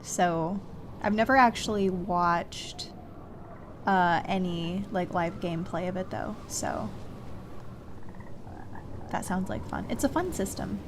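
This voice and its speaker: A woman's voice: lowish voice, takes it slowly, pauses often, noisy background. A woman streaming a video game, chatting casually to viewers and muttering questions to herself as she thinks aloud. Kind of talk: casual live gameplay commentary, chatting to viewers. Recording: game sound effects behind voice. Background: bleating sheep and game ambience.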